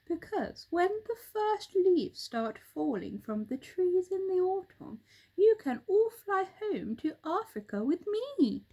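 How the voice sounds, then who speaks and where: A woman's voice: very high-pitched, sing-song, slow pace, dark tone, voice pushed up, full character voice. A woman voicing a cheerful, confident storybook bird who explains things in a chatty, reassuring way. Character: cheerful, reassuring roly-poly bird, a helpful storybook character.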